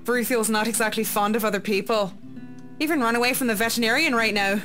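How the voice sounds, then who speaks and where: A courteous, formal lady from a visual novel, acted out by a woman reading along. Her voice sits somewhat high and sounds apologetic and concerned, with music playing clearly behind it. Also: quick pace, a bit bright, natural voice. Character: tall, concerned, courteous woman, owner of a cat.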